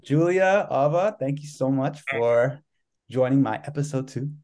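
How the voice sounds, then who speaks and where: A man's voice: mid-range voice, measured pace, darkish tone. A man from New York City hosting a podcast interview, talking loosely and rambling with lots of "like". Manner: loose and rambling, heavy use of "like". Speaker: podcast host. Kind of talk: casual interview chat.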